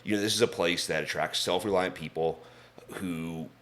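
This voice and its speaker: Brief: very low-voiced male guest, grew up in England, easy candid talker. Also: moderate pace, a bit bright. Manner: thoughtful, candid, with frequent fillers. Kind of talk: casual interview conversation. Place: home in Bozeman.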